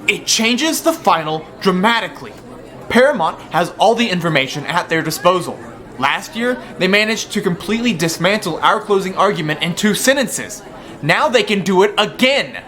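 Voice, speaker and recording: An actor in an amateur radio drama playing an intense, anxious, exasperated young debater, speaking emphatically. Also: male voice, sits high, animated, moderate pace, pushed and bright. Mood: tense alarm.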